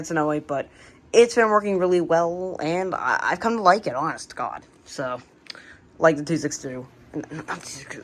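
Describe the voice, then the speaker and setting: An American woman casually showing a hobby collection, speaking in a halting, unscripted, plain way on an amateur home recording. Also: lowish voice, slight background noise. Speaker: radio collector presenting the collection. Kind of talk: casual hobby show-and-tell narration.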